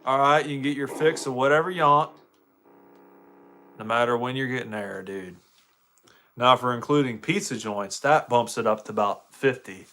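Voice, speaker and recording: A man's voice: mid-range voice, moderate pace, long pauses, sharp tone, a little hiss or hum. An American man chatting casually with viewers on a live game stream, laid-back, slangy and joking.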